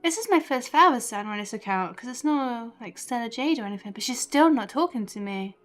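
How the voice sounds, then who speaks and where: A woman chatting casually on a game livestream, excitable and giggly, half talking to herself. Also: fairly high pitch, fairly quick, faint music behind. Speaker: game streamer. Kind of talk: casual livestream chat while playing a video game.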